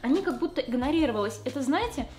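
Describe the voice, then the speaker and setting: Young woman, fast speech, slightly high voice, casual slangy vlog chat, close to the camera, indoors. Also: lively intonation, darkish tone. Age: young adult. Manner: fast, slangy and candid, with frequent fillers; talks while doing her makeup. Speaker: vlogger. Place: small room indoors.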